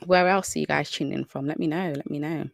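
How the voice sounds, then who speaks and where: A woman's voice: deep voice, fairly even intonation, fast, creaky, no room sound, clean studio sound. A young adult woman with a British accent, hosting a live Q&A about children's hair care, chatty and upbeat, greeting viewers by name. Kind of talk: live stream chat with viewers.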